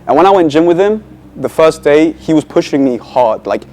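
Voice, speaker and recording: Casting note: young man, mellow tone, casual and admiring, light music under. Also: a little high, lively intonation, moderate pace. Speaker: friend. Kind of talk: interview testimonial.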